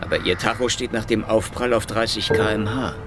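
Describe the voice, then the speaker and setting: An actor playing a sardonic, hardboiled Soviet secret police investigator, dry and probing, in a German radio crime drama. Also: male voice, on the low side, a little fast, noisy background, close to an everyday voice.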